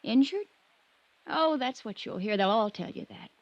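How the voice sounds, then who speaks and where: Woman playing defiant young daughter, emotional, high voice, radio drama, faint old-recording noise. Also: expressive, normal speed, dull audio, close to an everyday voice. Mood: bitter. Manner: emotional, accusing. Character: upset, defiant young daughter of a ranch owner. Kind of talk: 1950s radio drama acting. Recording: noisy vintage radio recording.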